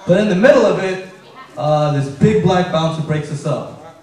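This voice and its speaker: Young guy with a high voice doing a stand-up set, deadpan stories, sounds far off in a super echoey club. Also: normal speed. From Afghanistan. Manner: deadpan storytelling, acting out characters in quotes. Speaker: stand-up comedian. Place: comedy club stage. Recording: very echoey room, voice heard from a distance.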